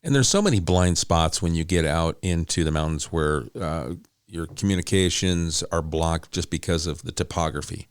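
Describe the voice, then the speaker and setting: A man's voice: low-pitched, moderate pace, smooth, no room sound, clean studio sound. A man hosting a conversational interview, often rephrasing as he frames his questions and points. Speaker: interviewer, host; law enforcement officer.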